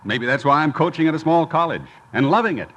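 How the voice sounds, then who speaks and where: An actor playing a decent, hesitant college coach, plain-spoken and deferential, in a 1950s radio sitcom. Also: male voice, a little high, expressive, fast talker, fairly bright tone. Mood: earnest. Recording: lightly noisy 1950s radio recording.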